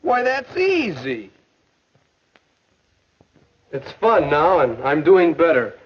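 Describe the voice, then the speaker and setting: An American actor playing an earnest, self-doubting high school boy athlete in a 1950s educational film, with stagey delivery. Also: huge pitch swings, slow, husky, exaggerated voice. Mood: earnest, hopeful. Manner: earnest, stagey thinking aloud. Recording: muffled old 1950s film soundtrack.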